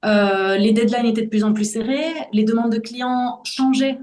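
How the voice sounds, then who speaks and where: A woman's voice: a little high, fairly even intonation, normal speed, darkish tone. Forties woman, podcast guest, thoughtful and sincere, recorded in a reverberant room. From Belgium. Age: 40s. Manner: earnest, reflective, searching for words. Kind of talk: personal testimony in a podcast interview. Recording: roomy echo.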